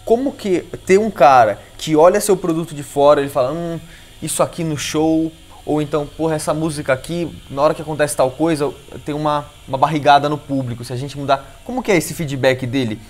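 A Brazilian man in his thirties hosting an interview on a couch, relaxed and asking long rambling questions. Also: a little high, a little fast. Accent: Brazilian Portuguese. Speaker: interview host. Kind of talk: casual interview conversation. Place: indoor living room set on a couch.

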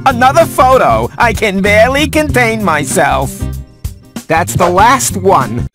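A man's voice: sits high, sing-song, unhurried, over music. A man voicing a lazy, sentimental, wisecracking house cat in a video game, drawling and theatrical. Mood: sardonic. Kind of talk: video game cutscene acting.

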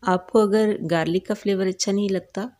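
A woman hosting a home cooking channel, calmly explaining each step of a recipe in a voice-over. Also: medium pitch, brisk. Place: home kitchen.

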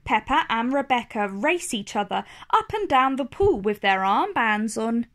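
A woman storyteller reading a children's storybook aloud, expressive and carefully enunciated for young listeners. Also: on the high side, unhurried.

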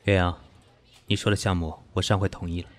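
An actor in an audio drama playing a proud, weary, sharp-tongued businessman, guarded with his lover, speaking in a curt, dry way. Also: low-pitched, level tone, a little fast, natural voice. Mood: exasperated.

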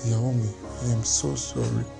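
A remorseful husband begs and apologises, played by an actor. His voice is gravelly and deferential. Music runs clearly underneath. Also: medium pitch, level tone, brisk. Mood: pleading. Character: remorseful young husband, begging forgiveness. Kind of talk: Nollywood drama acting.

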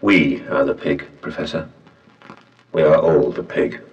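An actor playing an ominous, courteous gentleman in a video game, with a polite manner. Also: extremely low pitch, unhurried, recorded from a distance, slight background noise. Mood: quietly sinister. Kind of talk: dramatic video game voice acting. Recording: muffled, echoey, off mic.

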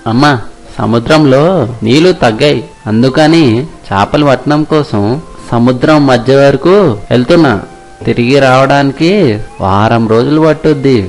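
Stubborn young fisherman, medium pitch man acting. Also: normal speed, long pauses, soft background music, lightly acted. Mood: reassuring. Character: hardworking, stubborn but kind young fisherman. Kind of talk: animated moral story voice acting.

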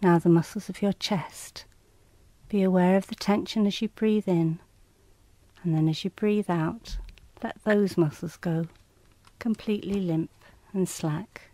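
A woman reading scripted guided relaxation instructions in a calm, measured, soothing and slightly clinical manner. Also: fairly low pitch, no echo.

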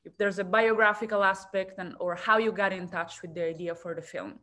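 Thirties woman moderator, video call audio, gentle and hesitant, lots of fillers. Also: medium pitch, steady tone, brisk. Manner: warm, hesitant, many ums. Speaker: festival moderator. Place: room with bookshelves.

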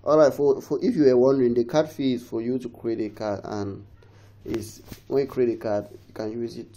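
A man hosting a casual how-to, his voice dulled by a cold. His tone sits mellow and dark at a middle pitch. He rambles, restarts phrases and keeps pleading with viewers to follow along. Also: fairly even intonation, normal speed. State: has a cold. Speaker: tech tutorial host. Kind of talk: casual screen-recorded tutorial.

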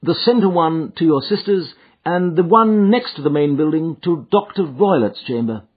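A man voicing a shrewd, commanding Victorian gentleman detective in an audiobook, speaking in incisive, precise phrases. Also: fairly high pitch, animated, moderate pace, slightly rough, voice pushed up. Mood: inquiring. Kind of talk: audiobook character dialogue. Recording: muffled, thin phone-like audio.

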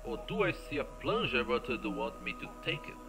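A man's voice: mid-range voice, animated, brisk, creaky, music in the background. A man giving casual let's play commentary, rambling playfully and thinking aloud as he plays. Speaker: gameplay video creator.

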